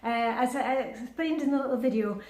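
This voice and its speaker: A woman's voice: a little high, a little fast, darkish tone, echoey room. A woman in her fifties chatting casually to her vlog viewers, upbeat and full of fillers and restarts. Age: 50s. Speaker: vlogger. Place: indoors at home, with a short outdoor clip in the rain.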